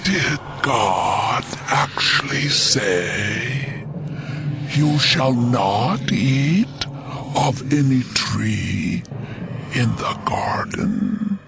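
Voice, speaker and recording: Brief: male actor as a crafty tempting serpent, gravelly, very slow and whispery, in an audio drama over music. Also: mid-range voice, lively intonation, heavily acted. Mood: sly tempting. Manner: slow, drawn-out whispery delivery.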